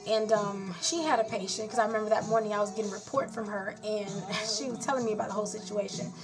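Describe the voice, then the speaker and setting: A woman's voice: mid-range voice, fairly even intonation, quick pace, over music. A woman born in Haiti and raised in South Florida, a nurse, venting bluntly and frankly in a rambling casual vlog.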